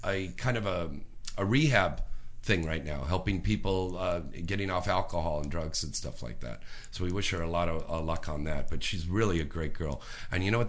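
A man hosting a casual interview, chatting and teasing his guest. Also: low-pitched. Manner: lively, teasing, jokey. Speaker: interview host.

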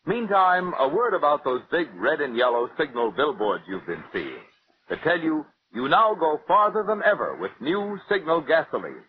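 A man's voice: very animated, moderate pace. An American man announcing and reading an advertisement in polished 1940s radio style. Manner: polished sales pitch. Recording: muffled old radio broadcast, hiss.

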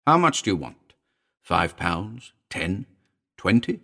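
A man's voice: deep voice, big pitch swings, slow pace, gravelly, pushed and bright, full character voice. A man voicing an agitated, commanding male character in a dramatic audiobook, fervent and emphatic. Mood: insistent. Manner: fervent, emphatic, urgent pleading.

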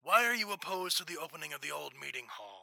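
A young man playing a stern, invisible crime-fighting investigator in classic radio drama style, measured, formal and ominous. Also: a little high, very animated, vocal fry, pushed and bright, exaggerated voice. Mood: quiet menace. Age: young adult.

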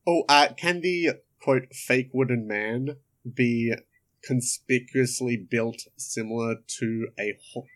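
A man's voice: mid-range voice, takes it slowly, fairly bright tone. A man in casual table talk as a player in a tabletop roleplaying game.

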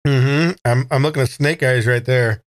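A man's voice: mid-range voice, steady tone, moderate pace, dry recording, clean studio sound. A man playing a tabletop game, chatting casually and describing his character's moves with playful exaggeration. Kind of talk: casual actual-play game chat.

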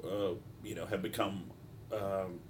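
Low-voiced, congested man podcasting. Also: fairly even intonation, measured pace, a little hiss or hum. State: congested, stopped-up nose. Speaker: podcast host, mental health practitioner. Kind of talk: informal solo podcast monologue.

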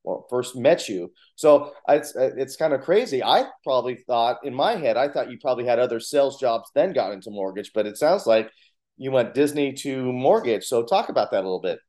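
A man's voice: medium pitch, animated, brisk, dark tone. A man hosting a casual interview, asking friendly, rambling questions full of hesitations. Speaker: interview host.

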